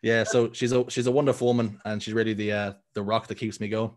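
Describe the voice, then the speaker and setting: Rather low-pitched man of thirty with a Dublin Irish accent, telling stories as a guest in a relaxed interview. He rambles, starting over often and filling gaps with ums. His talk stays easy and conversational. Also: fast. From Ireland. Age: 30s. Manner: rambling, full of ums and restarts. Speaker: guest, content creator. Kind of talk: casual interview chat.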